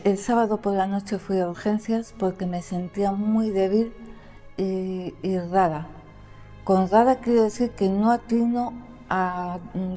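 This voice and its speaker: A woman guest who cannot pronounce the letter R, telling her story plainly with many pauses and fillers. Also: mid-range voice, mellow. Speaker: interview guest. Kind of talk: conversational storytelling.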